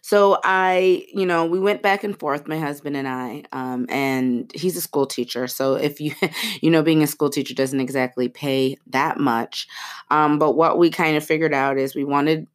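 A woman's voice: deep voice, normal speed. A woman in her thirties hosting a podcast with a head cold. She sounds stuffy and nasal and chats casually, with lots of fillers and restarts. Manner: chatty, many fillers and restarts. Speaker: podcast host. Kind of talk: casual chat.

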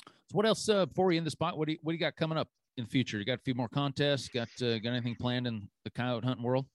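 A man's voice: medium pitch, very fast, dry recording. Man, podcast host, casual chat, easygoing, filler words, dry close sound. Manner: easygoing, lots of fillers like "you know".

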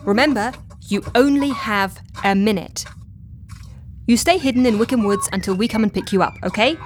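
An actress in a comedy audio drama playing an exasperated, organized woman agent, with an animated, instructive manner. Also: a little high, moderate pace, slight background noise, close to an everyday voice. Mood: brisk, commanding.

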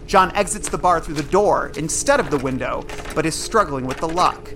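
A man's voice: fairly high pitch, lively intonation, moderate pace, background noise. A man narrating an audio drama from a script in a dramatic, descriptive manner. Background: loud music.

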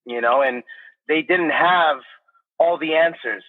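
A man's voice: fairly high pitch, slow pace. A man speaking as a podcast guest about his credit repair business, earnest and casual, often adding filler words and checking in with "right?". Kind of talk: casual interview talk. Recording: tinny phone-line sound.

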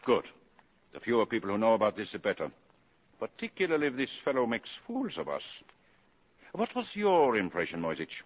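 An actor playing a senior German ambassador in a radio drama, authoritative, measured and wry. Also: male voice, on the low side, sing-song, breathy edge. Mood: wry, commanding. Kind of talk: 1950s radio drama acting. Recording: muffled old radio broadcast recording.

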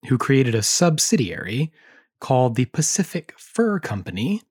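Slightly hoarse male co-host, chatty and jokey, nerding out on history. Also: medium pitch, sing-song, moderate pace, a little mellow. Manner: joking, chatty, history-nerd asides. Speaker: podcast co-host. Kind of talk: casual chat.

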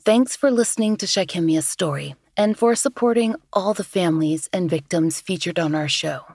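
A woman with a deeper, lower voice, hosting a podcast and giving calm, measured scripted narration. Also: slightly rough, no room sound, studio quality. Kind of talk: scripted true-crime narration.

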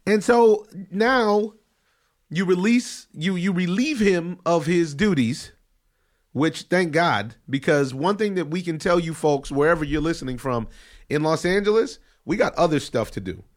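A man's voice: a little high, expressive, unhurried. A man hosting a casual sports talk show, speaking with a head cold and riffing emphatically. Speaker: podcast host. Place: studio in Los Angeles.

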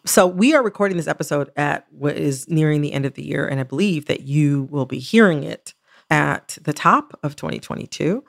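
An American woman with a noticeably creaky voice co-hosts a casual podcast. She talks in a candid, self-aware, wry way, as in a relaxed conversation between colleagues. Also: sits low, normal speed. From United States. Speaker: podcast co-host.

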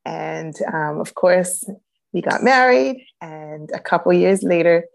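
Interview guest: woman with a faint Jamaican lilt, lower pitch, thoughtful and unhurried. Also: animated, creaky. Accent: light Jamaican. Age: adult, a grown child. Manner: reflective, many "um"s, warm laughs. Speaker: guest, reboot coach. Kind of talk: personal storytelling in interview.

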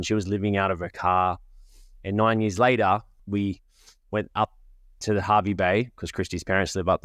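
A man's voice: low voice, a bit bright, no echo, studio quality. A man with a cold and a cough, hosting a podcast and talking in a rambling, conversational way. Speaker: podcast host. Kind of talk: casual monologue.

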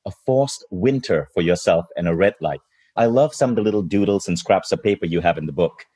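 A man's voice: sits low, lively intonation, brisk, dark tone, slightly rough. A male host running a live-streamed interview, enthusiastic and speaking to the audience watching.

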